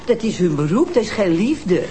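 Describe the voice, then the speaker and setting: A woman's voice: medium pitch, sing-song, normal speed, dark tone, natural voice. Actress as scornful woman, noisy soundtrack. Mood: indignant, scornful. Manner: outspoken, scornful. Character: indignant, snobbish sister of a murdered woman. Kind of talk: naturalistic TV crime drama dialogue.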